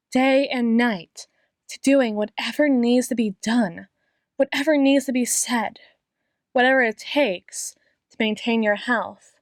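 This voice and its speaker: A high, close and dry female voice, acted by a woman as a yandere doctor in an intimate audio roleplay. She speaks slowly and tenderly, slipping into whispers and repeating herself, with devotion that carries a sweet menace. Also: dry recording. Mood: obsessive, intense. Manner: breathy whispers, lingering pauses, repeats phrases tenderly. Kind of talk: ASMR roleplay, dramatic monologue addressed to listener.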